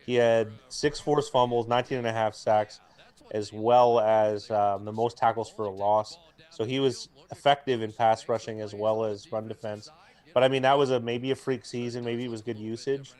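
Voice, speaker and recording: A young man with a booming voice, co-hosting a live football watch stream in rambling casual chat, mic clipped to his jacket. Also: fairly even intonation, normal speed, darkish tone. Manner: rambling, talkative, full of restarts and fillers. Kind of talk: casual chat and game commentary. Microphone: jacket used as mic mount.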